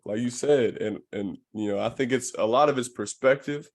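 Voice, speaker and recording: A man's voice: medium pitch, normal speed. A man hosting a podcast in casual conversation, with laid-back, slangy phrasing and an affirming way of talking. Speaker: podcast host.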